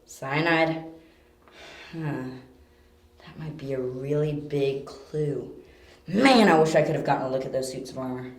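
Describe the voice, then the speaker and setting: A young detective in an amateur radio play, acted by a woman, brisk and sure in the questioning. The voice sits low and has an echoey room around it. The delivery is terse, probing and self-assured. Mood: intent, deducing. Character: sharp, curious young detective, confident and brisk. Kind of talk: amateur audio drama acting. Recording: roomy, echoey home recording.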